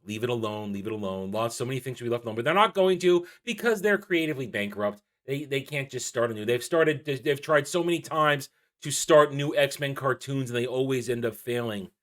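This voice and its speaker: Guy youtuber doing opinion commentary, exasperated, repeats himself, leans hard on words, mid pitched voice. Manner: exasperated, emphatic, repeats phrases with drawn-out stresses. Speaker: YouTube channel host. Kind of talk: opinionated commentary vlog on cartoons and comics.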